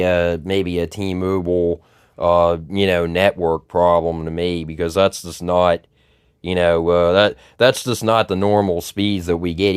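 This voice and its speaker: A man, a bit raspy from just waking up, rambling through casual commentary with lots of fillers and repeated words. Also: sits low, no echo, studio quality. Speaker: tech vlogger. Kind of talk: casual screen-recorded commentary.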